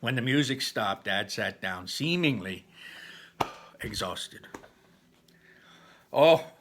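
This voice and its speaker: A man reading a storybook aloud in an animated manner, stepping out for chatty explanations to his listeners. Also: lowish voice, slow pace, noisy background.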